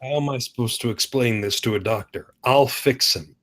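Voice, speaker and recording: Small-town policeman male actor, mid-pitched, defiant, curt, heavy on sarcasm, table-read drama. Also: moderate pace, a bit bright, close to an everyday voice. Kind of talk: screenplay table read acting.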